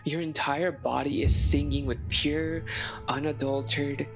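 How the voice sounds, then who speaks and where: A woman narrating a scripted second-person story in a measured way, with dramatic pauses. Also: sits low, fairly even intonation, vocal fry, faint music behind. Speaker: narrator, audio roleplay voice performer.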